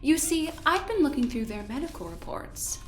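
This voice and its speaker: A smug woman running corporate research in a sci-fi audio drama. Her high voice is sweetly polite with menace underneath. The sound is roomy, with faint music behind. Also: big pitch swings, normal speed. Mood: smug, condescending. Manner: falsely sweet, condescending, theatrically polite.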